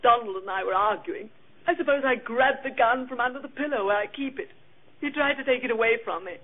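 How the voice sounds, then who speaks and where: A woman's voice: a little high, a little fast, close to an everyday voice. A woman playing a haughty, defiant society murder suspect in an old radio drama, imperious and brittle. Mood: distraught. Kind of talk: 1950s radio drama acting. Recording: muffled old radio recording.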